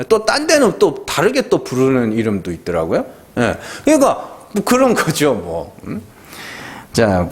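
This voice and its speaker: Animated high-voiced man from Gyeongsang preaching. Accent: Gyeongsang Korean. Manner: conversational preaching with rhetorical questions and prompts; emphatic, swooping delivery. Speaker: pastor. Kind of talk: Friday prayer meeting sermon.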